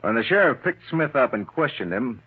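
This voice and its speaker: A man's voice: mid-range voice, big pitch swings, moderate pace, slightly rough. An actor playing a shrewd, confident government lawman in an old-time radio crime drama, pressing his point assertively. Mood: coolly triumphant. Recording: muffled, narrow-band old radio recording.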